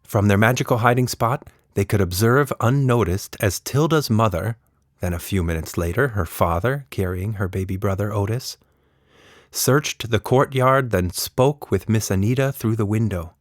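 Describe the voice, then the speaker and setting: A man's voice: lowish voice, normal speed, no echo. A man hosting and narrating a children's story podcast expressively, recorded up close.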